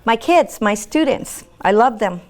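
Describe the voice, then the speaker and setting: Older Puerto Rican-accented woman teacher, native Spanish speaker, warm and halting, interview answers. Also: fairly high pitch, lively intonation. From Puerto Rico.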